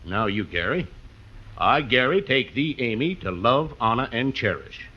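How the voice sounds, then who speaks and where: An actor playing a frontier justice of the peace officiating in an old radio comedy, formal and deliberate. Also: male voice, mid-range voice, expressive, breathy edge. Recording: noisy, muffled old radio recording.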